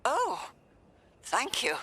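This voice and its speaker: An actress playing a fussy, genteel older widow and retired teacher who gets flustered and stammers, in a radio play. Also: female voice, high-pitched, huge pitch swings, very slow, bright, forceful tone, breathy edge, dry recording, exaggerated voice.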